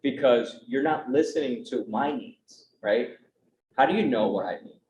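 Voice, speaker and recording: A man's voice: medium pitch, normal speed, a little mellow. Man speaking on a conference panel. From United States. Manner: earnest, conversational, frequent "right?" tag questions. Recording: roomy, dull, faint background noise.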